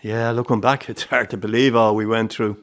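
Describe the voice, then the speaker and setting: A man's voice: lowish voice, flat delivery, moderate pace, natural voice. A man acting an old Irish circus grandfather in a radio drama, nostalgic and fond, carrying old grief. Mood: wistful. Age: older.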